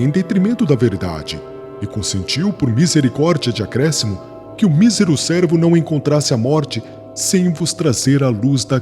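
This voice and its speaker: An actor with a Brazilian accent playing a fervent young former rabbi who preaches in a solemn, formal, declamatory way for a dramatized audiobook. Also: male voice, mid-range voice, natural voice. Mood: earnest, insistent. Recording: music bed under the voice.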